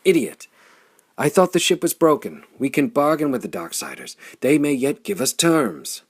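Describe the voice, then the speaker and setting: Casting: male reader as haughty, quarrelsome lord in an adventure audiobook, sullen and blustering, with a gravelly rasp. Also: on the high side, sing-song, moderate pace, voice pushed up, full character voice. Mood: indignant. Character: proud, sullen, bellowing male nobleman, argumentative.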